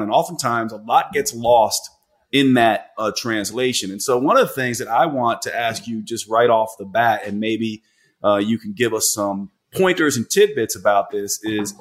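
A man hosting a podcast, a clinician asking long, winding, earnest questions with restarts. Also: mid-range voice. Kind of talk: interview questions.

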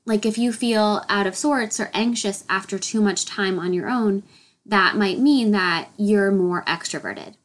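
A woman's voice: medium pitch, unhurried. A woman hosting her solo podcast, a little nasal and congested from a head cold, explaining a topic in an upbeat way. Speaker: podcast host.

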